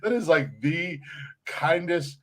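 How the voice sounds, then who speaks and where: A man's voice: a little high, lively intonation, slow pace, fairly bright tone. Laughing, rambling podcast host, male. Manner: laughs often, rambling with fillers. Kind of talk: casual comedic banter.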